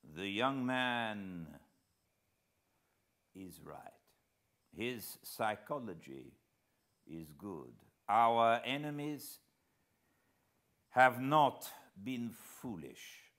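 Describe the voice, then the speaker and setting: A man voicing a wise, unhurried older Frenchman telling a hunting tale very slowly and deliberately in an audiobook reading. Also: mid-range voice, sing-song, a bit bright, voice pushed up, heavily acted. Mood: grave, measured. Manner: very slow, deliberate, measured storytelling.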